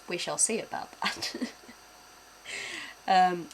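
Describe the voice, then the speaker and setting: A woman's voice: fairly low pitch, very slow, slight background noise, poor audio. A young British woman chatting casually while reacting to videos on a stream, laughing often and swearing offhand. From Britain.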